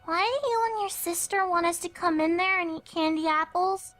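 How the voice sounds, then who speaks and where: A woman voicing a small, earnest, uneasy young filly pony with a childlike squeaky voice in a story reading. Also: normal speed, fairly bright tone, soft background music. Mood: wary. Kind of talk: dramatic fan fiction reading.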